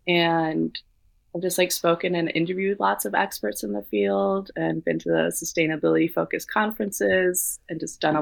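A woman, from Denmark, co-founder of a consultancy, answering interview questions in a relaxed way full of "like" fillers. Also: low voice, vocal fry, no echo.

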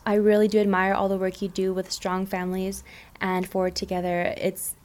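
A woman's voice: mid-range voice, monotone, fairly quick, darkish tone. A woman radio host thanking and questioning her guest politely. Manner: polite, appreciative. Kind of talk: radio interview.

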